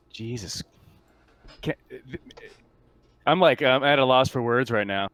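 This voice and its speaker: A man's voice: mid-range voice, slow, fairly bright tone. A man talking casually as game master during a tabletop roleplaying session. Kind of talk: casual gaming talk.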